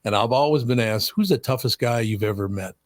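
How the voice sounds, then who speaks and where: A man's voice: medium pitch, fairly quick, no echo. Older guy radio host, gets choked up, voice goes up when emotional, dry studio sound. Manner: emotional, voice rises when moved. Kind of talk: casual radio chat.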